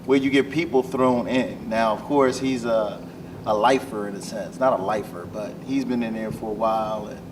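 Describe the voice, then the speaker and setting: A man's voice: on the low side, normal speed. A man talking casually as an interviewed actor, thinking aloud in a rambling, thoughtful way. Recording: noisy room, distant microphone.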